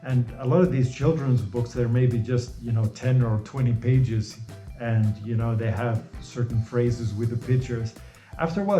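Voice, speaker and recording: Mellow-voiced man. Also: mid-range voice, roomy sound. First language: Norwegian. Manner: loose and repetitive, full of "you know" and restarts. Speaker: host. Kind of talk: casual advice monologue.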